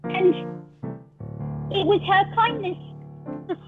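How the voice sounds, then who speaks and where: Woman doing a very high, halting, confused immortal sheep, tinny call audio, faint music behind. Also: steady tone. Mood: urgent. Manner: halting, stammers. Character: high-voiced eternal sheep, earnest, confused. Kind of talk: improvised comedy.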